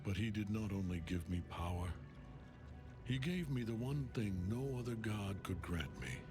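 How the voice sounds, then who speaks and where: An actor playing a grim old general and death cultist in a video game, solemn, menacing and grandiose. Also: male voice, fairly low pitch, very animated, normal speed. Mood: cold, menacing. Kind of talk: dramatic video game villain acting. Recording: cinematic music behind the voice.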